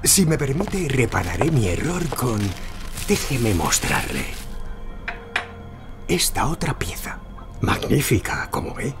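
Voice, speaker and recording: Shady, flattering bazaar antiques dealer: mid pitch, extremely sing-song range, unctuous and theatrical. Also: male voice, takes it slowly, long pauses, loud music behind the voice. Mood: ingratiating. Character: oily, flattering male Lebanese antiques dealer. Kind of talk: Spanish audio drama acting.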